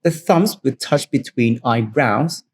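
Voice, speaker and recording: A Thai-accented man co-hosts a podcast in a mid-pitched voice. He explains things plainly and step by step, in English as a second language. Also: slow, husky. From Thailand. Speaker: podcast co-host. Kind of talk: explanatory cultural talk.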